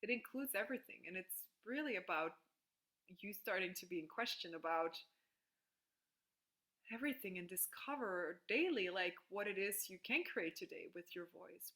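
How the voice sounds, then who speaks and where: Bright-voiced woman leading a video call. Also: on the low side, moderate pace. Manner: enthusiastic, frequent "like", laughs easily. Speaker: workshop facilitator. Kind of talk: casual group call coaching.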